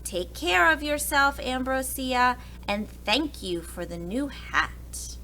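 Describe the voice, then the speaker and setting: A woman voicing a grumbling, fretful but soft-hearted old uncle in a storybook, speaking in emphatic exclamations. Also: high-pitched, slow pace, slight background noise, pitched above the performer's own voice, exaggerated voice, rough recording. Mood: grateful. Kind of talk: storybook character voice.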